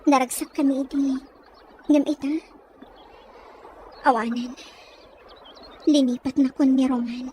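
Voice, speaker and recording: A woman's voice: high voice, flat delivery, a little slow, pauses often, a little mellow, slight background noise. A woman with a Filipino Ilocano accent playing a lovelorn, dutiful young provincial daughter in an audio drama. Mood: nostalgic. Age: young adult.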